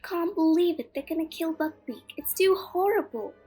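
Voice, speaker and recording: A prim, sceptical young schoolgirl witch, played by a woman in an audio drama. The voice is high and soft-toned, with faint music underneath. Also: animated, normal speed. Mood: distressed. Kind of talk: fan audio drama acting.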